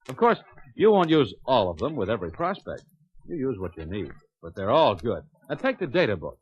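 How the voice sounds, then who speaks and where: A man's voice: mid-range voice, a bit bright. A man playing a confident, plain-spoken American truck salesman, giving a persuasive, folksy scripted testimonial in a 1950s training filmstrip. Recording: muffled, noisy 1951 filmstrip soundtrack.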